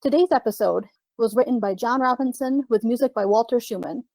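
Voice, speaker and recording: A woman announcing a radio play from a script. Her voice is fairly high but soft-edged and mellow, recorded dry and close with no room sound. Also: fairly quick, dry recording. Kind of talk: scripted radio drama introduction.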